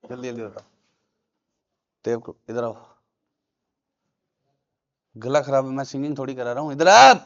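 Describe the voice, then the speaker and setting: A man's voice: mid-range voice, moderate pace, vocal fry, long pauses. A male commerce teacher, lecturing in a classroom and quizzing his students with repeated questions. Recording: dull lo-fi classroom recording.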